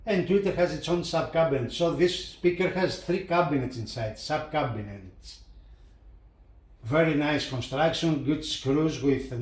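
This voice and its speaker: A man presenting a hi-fi review in a casual hands-on style, talking haltingly and repeating phrases as he points things out. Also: mid-range voice. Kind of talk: casual hands-on video commentary.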